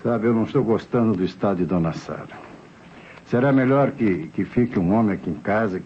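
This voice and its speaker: A man's voice: on the low side, expressive, a little fast, lightly acted. Man playing dutiful worried doctor, hesitant, very varied intonation, old radio drama, muffled with faint noise. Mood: concerned, resolute. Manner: hesitant, repeating himself. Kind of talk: 1960s radio drama acting.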